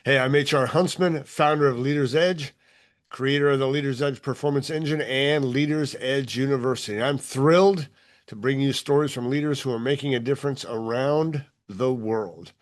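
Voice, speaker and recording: A man's voice: medium pitch, normal speed. A man hosting a leadership podcast, reading a polished, upbeat scripted intro and then interviewing his guest. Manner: upbeat, polished promotional delivery. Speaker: podcast host.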